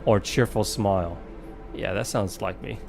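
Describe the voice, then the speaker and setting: A man's voice: on the low side, measured pace, gravelly. A man doing casual let's play commentary, jokingly talking back to the game. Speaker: game streamer. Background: game music.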